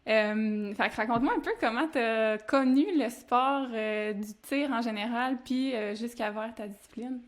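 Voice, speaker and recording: A woman's voice: fairly high pitch, fairly even intonation, unhurried, hardly any pauses. A woman with a Québécois accent hosting a podcast, asking questions in a friendly, casual way. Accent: Québécois French. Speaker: podcast host. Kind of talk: casual interview chat.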